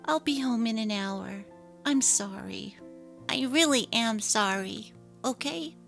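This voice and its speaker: A woman's voice: fairly high pitch, expressive, takes it slowly, creaky, a bit bright, full character voice. A woman voicing an apologetic, evasive young working wife and mother in a dramatic story reading. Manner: apologetic, placating. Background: faint music.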